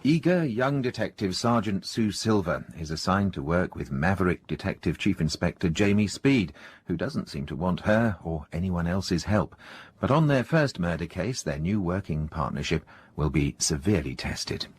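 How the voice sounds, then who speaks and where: A male announcer reads a scripted drama introduction. His voice is very deep, with a husky, rough-edged tone. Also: lively intonation, moderate pace, few pauses.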